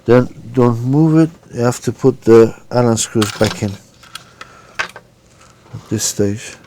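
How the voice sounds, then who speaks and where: A man's voice: medium pitch, takes it slowly, dark tone, slight background noise. A man narrating an informal hands-on repair tutorial, giving careful step-by-step instructions and sometimes muttering to himself. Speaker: repair demonstrator.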